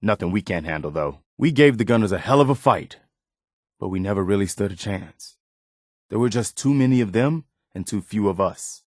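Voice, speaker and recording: An actor playing an earnest, steadfast militia soldier companion in a post-apocalyptic video game, speaking sincerely and in a measured way. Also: male voice, lowish voice, expressive, darkish tone, no echo, studio quality. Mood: somber, sincere. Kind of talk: video game companion dialogue, naturalistic acting.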